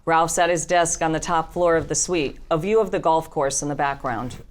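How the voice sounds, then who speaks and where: Very low-pitched woman narrating a script. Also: normal speed. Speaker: narrator of stage directions.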